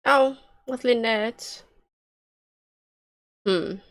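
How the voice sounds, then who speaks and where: A woman giving casual, expressive live commentary as she streams a game, reacting aloud with slangy, sweary talk. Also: on the high side, drawn-out words.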